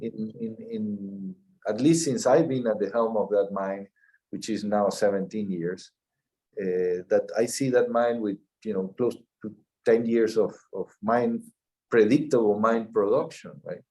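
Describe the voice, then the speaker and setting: Measured Peruvian-accented man, mellow voice. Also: lowish voice. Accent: Peruvian Spanish. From Peru. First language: Spanish. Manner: measured, restarts and repeats words. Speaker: mining company CEO. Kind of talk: interview answers.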